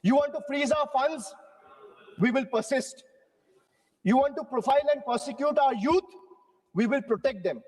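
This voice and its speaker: A man's voice: extremely high pitch, normal speed, long pauses, a little mellow, long reverb tail, low-quality recording. A man from Bengal giving a rhetorical speech in parliament, declaiming in parallel phrases. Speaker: member of parliament. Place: large parliament chamber.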